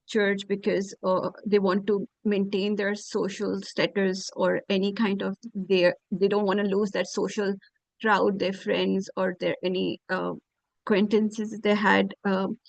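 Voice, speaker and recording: A woman halting through two questions in a webinar, with many pauses, over a video call. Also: mid-range voice, flat delivery, gravelly, dry recording, poor audio. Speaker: webinar audience member asking questions.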